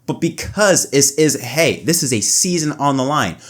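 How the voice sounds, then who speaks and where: A man's voice: mid-range voice, animated, normal speed. A man hosting a motorsport video, giving opinionated race analysis in an emphatic way that often repeats phrases. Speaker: motorsport commentary video host.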